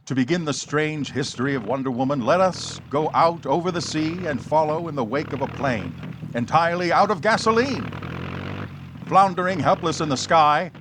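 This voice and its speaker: A man's voice: a little high, background noise, close to an everyday voice. A man narrating a superhero radio serial in a grand, theatrical 1940s storytelling style.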